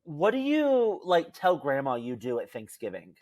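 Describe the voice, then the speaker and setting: Lower-pitched, very expressive young American woman. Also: moderate pace, dry recording. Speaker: podcast co-host. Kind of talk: casual chat, storytelling.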